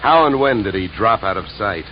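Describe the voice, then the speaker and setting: An actor playing a wry, deadpan insurance investigator in a 1950s radio detective drama, brisk and wisecracking. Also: male voice, on the low side, slight background noise, close to an everyday voice. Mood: probing, businesslike. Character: wry, hard-boiled male insurance investigator, narrating his case. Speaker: lead actor and narrator. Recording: dull vintage radio recording, music behind.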